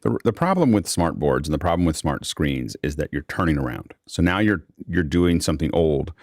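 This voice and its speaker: A man with an extremely low voice hosts a casual tech discussion. He explains things in a rambling way, circling back to restart his phrases. The sound is close and dry. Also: very animated, a little fast, no room sound. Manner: rambling, repeats phrase openings. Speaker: host of an online panel discussion.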